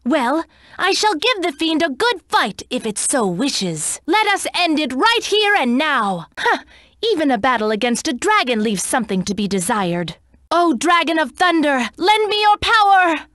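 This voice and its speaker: Game role: proud young female cat knight, high voice, formal and stern, widely swinging intonation, very dry sound. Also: a bit bright, no room sound. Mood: proud, challenging, then fierce, battle-ready. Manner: formal, archaic, haughty diction. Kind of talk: video game character voice clips.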